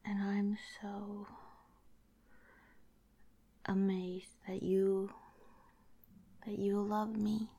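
A woman whispering tenderly and haltingly in an intimate, affectionate romantic ASMR roleplay, her voice breathy. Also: medium pitch, little pitch movement, dark tone.

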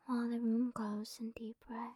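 A woman doing a whispered romantic ASMR roleplay, speaking softly and coaxingly, close to the microphone. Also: fairly high pitch, flat delivery, normal speed, dry recording. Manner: soft breathy whispering, affectionate and coaxing. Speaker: ASMR performer.

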